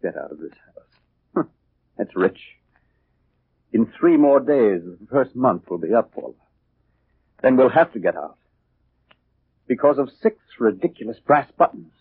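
An actor playing a cold, domineering murderous husband in a 1940s radio drama, curt and bullying, on a muffled old recording. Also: male voice, mid-range voice, sing-song, unhurried, long pauses, breathy edge, no room sound, heavily acted. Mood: bitter, irritated.

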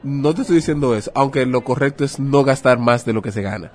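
A man's voice: mid-range voice, fast talker, a little mellow, faint music behind. A man with a Dominican Spanish accent explaining as an interview guest, in a didactic way with rhetorical questions and examples. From Dominican Republic. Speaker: guest, personal finance adviser.

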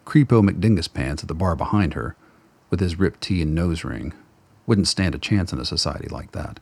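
A man's voice: deep voice, animated, normal speed, creaky, darkish tone, husky, no echo. A man reading scripted narration for a radio drama as its narrator.

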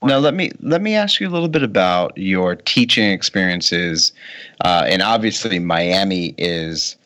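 A male host asking polite, measured interview questions. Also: low voice, expressive, fairly bright tone.

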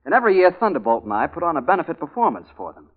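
An American actor playing a sentimental, earnest cowboy film star in a 1940s radio drama, on a tinny vintage recording. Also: male voice, a little high, big pitch swings, racing. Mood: sheepish, confiding.

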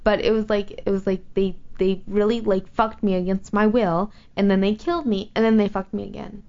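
A woman's voice: medium pitch, fairly even intonation, fairly quick, creaky, warm tone. A young adult woman in a casual chat as a guest, blunt and giggly, swearing freely. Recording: muffled lo-fi recording.

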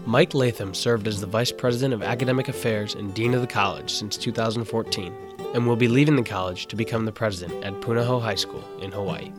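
A young man hosting a college interview show, narrating and asking questions in a relaxed way. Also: fairly low pitch, fast, over music. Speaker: student radio host.

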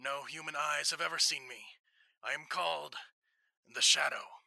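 A young man playing a stern, invisible crime-fighting investigator in classic radio drama style, measured, formal and ominous. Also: a little high, steady tone, vocal fry, sharp tone, dry recording, full character voice. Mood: quiet menace. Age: young adult.

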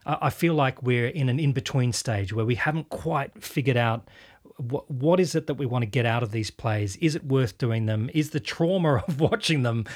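A man's voice: medium pitch, moderate pace. A man hosts an interview in a plain mid-range voice. He muses through tricky questions with some hesitation and keeps his guest going with short acknowledgements. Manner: frequent backchannel, thoughtful questions. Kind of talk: interview conversation.

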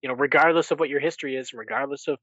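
A man's voice: medium pitch, fairly even intonation, racing, a bit bright, no echo. Man chatting casually, dull lo-fi sound. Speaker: podcast host. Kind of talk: casual chat, recapping.

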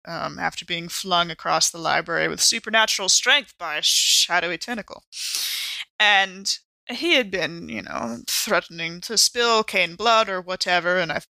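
A woman's voice: lowish voice, gravelly, sharp tone, no room sound, heavily acted, poor audio. A woman playing a tipsy, exasperated investigator in an improvised horror roleplay, with a rambling, hesitant, wry delivery. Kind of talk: improvised in-character actual-play roleplay.

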